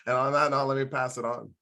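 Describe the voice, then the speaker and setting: A man's voice: medium pitch, creaky, sharp tone. Wry man joking about himself. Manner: wry, self-deprecating, chuckling. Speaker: panelist, professor of government. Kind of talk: self-introduction on a panel. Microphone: video call.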